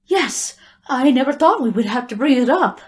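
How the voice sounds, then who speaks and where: A woman's voice: sits high, lively intonation, moderate pace. A woman playing a polite, peacemaking spirit witness with a proper, pleading manner in an audio drama. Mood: regretful. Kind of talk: amateur audio drama acting.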